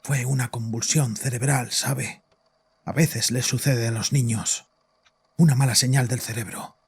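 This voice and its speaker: Gravelly, haunted father. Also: medium pitch, a little mellow. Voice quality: gravelly, husky. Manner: halting, anguished, rambling. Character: distraught, haunted, domineering father confessing to a doctor. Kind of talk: audio drama acting.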